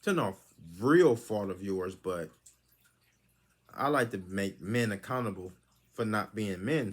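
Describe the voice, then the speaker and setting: A man hosting his own channel, giving a lecturing monologue that is full of rhetorical questions. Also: mid-range voice. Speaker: channel host. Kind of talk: preachy monologue commentary.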